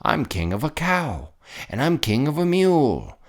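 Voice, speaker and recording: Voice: mid-range voice, wildly swooping pitch, unhurried, pitched above the performer's own voice. A storyteller voicing a boastful, tyrannical turtle king with a pompous, bellowing, commanding manner for a children's picture book. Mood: angry, commanding.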